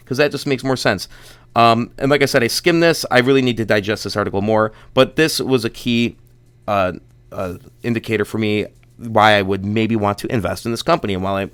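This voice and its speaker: A man's voice: on the low side, a bit bright, smooth. A young man hosting a casual stock update, rambling and restarting often, congested and losing his voice. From Buffalo, New York area. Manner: rambling, restarts often, reads quotes haltingly. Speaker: stock channel host.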